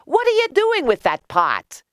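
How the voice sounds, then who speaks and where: A curious, doubting townsperson, played by a woman calls out loudly in a raised, high voice. The storybook delivery is very animated. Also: unhurried, dry recording, clean studio sound, exaggerated voice. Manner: calling out loudly.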